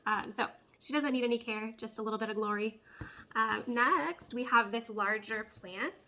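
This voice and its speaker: Woman, highish pitch, crisp bright tone, stuffy with a cold, bubbly, informal vlog chat. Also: moderate pace, slight background noise. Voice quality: nasally. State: congested with a cold. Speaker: plant vlog host. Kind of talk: casual chatty vlog.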